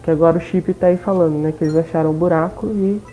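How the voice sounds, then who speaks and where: A woman's voice: on the low side, steady tone, fast talker, mellow, faint music behind. A woman with a Brazilian accent giving a casual, informal let's play recap as voice-over, often checking in with listeners. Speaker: gaming video host. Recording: dull lo-fi voice-over.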